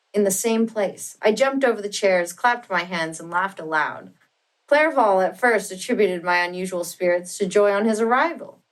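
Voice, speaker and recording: Expressive woman narrating a classic novel. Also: fairly low pitch, rough recording. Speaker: audiobook narrator. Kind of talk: dramatic literary narration.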